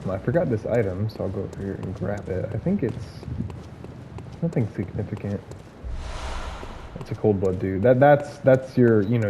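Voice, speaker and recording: A man giving casual gameplay commentary, hoarse from illness, rambling with many fillers and restarts. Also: lowish voice, dark tone, muffled recording, slight background noise.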